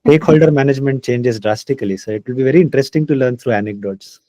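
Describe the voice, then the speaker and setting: A man's voice: medium pitch, rapid-fire, dark tone, dry recording, lo-fi sound. A man hosting an interview, asking long questions with many hesitations and restarts. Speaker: interviewer, host.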